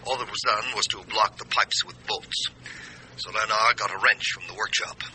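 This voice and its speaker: A cautious lawyer in a radio play. His voice is very sharp and forward, on the low side, and his delivery is formal and hesitant. Faint noise sits behind him. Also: steady tone, moderate pace, rough recording. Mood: careful, hesitant. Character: cautious, formal male lawyer reporting by telephone. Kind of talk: radio drama acting.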